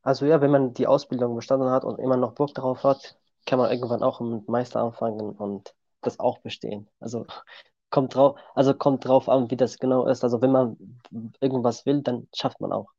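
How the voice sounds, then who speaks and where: A man's voice: mid-range voice, fairly even intonation, fairly quick, gravelly, warm tone, no room sound. Young man, dull dry sound. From Syria. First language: Kurdish. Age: 20s. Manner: plain, simple sentences. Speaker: guest. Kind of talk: podcast interview.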